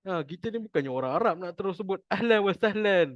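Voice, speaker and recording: Malaysian guy teaching an informal class, jokey, repeats stuff, higher-ish voice, muffled dry audio. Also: expressive, fast talker, no room sound. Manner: chatty, jokey, repeats points for emphasis. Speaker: Quran recitation teacher.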